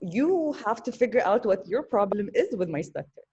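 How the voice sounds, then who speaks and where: A woman on an online panel, speaking with earnest conviction and often pausing to repeat a word. Her voice is mellow and a little dark. The audio is dull and lo-fi, like a video call. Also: medium pitch, very animated, slightly rough. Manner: thoughtful, earnest, with hesitations and repetitions.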